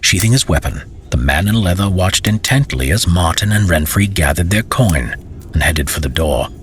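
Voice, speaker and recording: A man's voice: low-pitched, lively intonation, normal speed, slightly rough. A man narrating a scripted fantasy story as an audiobook reader.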